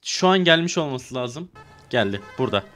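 A man's voice: medium pitch, lively intonation, normal speed, a bit bright, faint music behind. A man improvising roleplay banter in an online game, repeating short phrases insistently. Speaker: streamer playing a fake car dealer.

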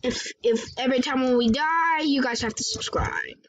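A young girl hosting her gaming channel, chatting excitedly and sometimes shouting over the game. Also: sits high, normal speed. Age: child. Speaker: channel host. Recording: muffled laptop mic, noisy room.

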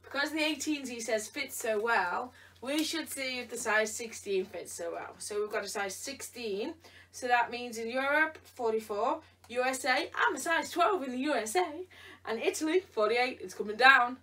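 A woman's voice: fairly high pitch, normal speed, pushed and bright. A tired woman chatting playfully in a try-on vlog, teasing someone off camera. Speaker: vlogger. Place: bedroom indoors. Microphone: camera across the room. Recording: voice from a distance.

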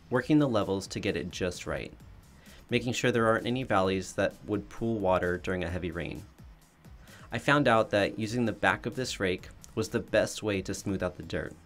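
Guy doing a chill voice-over for his diy vlog, kinda low voice, matter-of-fact. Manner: plain, matter-of-fact explaining. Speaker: DIY home renovation vlogger. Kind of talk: calm voice-over narration. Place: voice-over, not recorded in the pictured yard. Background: soft background music.

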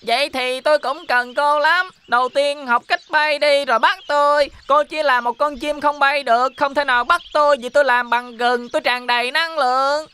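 A woman's voice: sits high, fairly even intonation, moderate pace, few pauses, pushed and bright. A woman voicing a cheeky little gingerbread boy in a children's cartoon, with a teasing, boastful sing-song delivery. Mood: mocking, boastful.

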